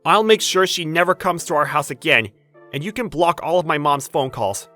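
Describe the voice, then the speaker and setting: A woman voicing a loving, protective husband who speaks softly and turns angry at his mother. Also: sits low, animated, moderate pace, soft background music, pitched below the performer's own voice. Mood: gentle. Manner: gentle and soothing, furious when defending.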